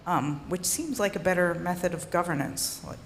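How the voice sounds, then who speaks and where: A woman's voice: fairly low pitch, moderate pace, slight background noise. A woman in the audience asking a casual, direct question. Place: large conference hall. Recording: hall reverb with faint ambience.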